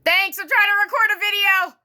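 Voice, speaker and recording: A woman's voice: very high voice, fairly even intonation, a little fast, pushed and bright. A woman doing a mock-formal dramatic reading with casual, sarcastic, sweary asides in a theatrical manner. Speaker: online video creator doing a dramatic reading.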